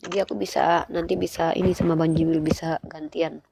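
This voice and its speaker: A woman's voice: sits low, flat delivery, fairly quick, vocal fry, noisy background. A woman, speaking didactically in a live online religious debate and putting rhetorical questions to listeners. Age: 30s.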